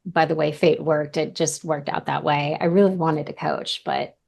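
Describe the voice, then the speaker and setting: A woman in her thirties talks loosely and at length, leaning on "like" and doubling her words as she goes. Her voice sits a little low, with a gravelly fry running under it. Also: level tone, normal speed, a little mellow. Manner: chatty, lots of "like" and repeated words. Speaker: vocal coach, interview guest. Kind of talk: casual interview chat.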